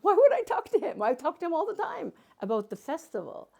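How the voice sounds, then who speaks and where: A woman's voice: sits high, big pitch swings, fast, vocal fry, darkish tone. An older woman from Canada, a guest speaker and matchmaker, talking casually to a live audience and telling stories with playful asides. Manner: chatty storytelling with playful asides.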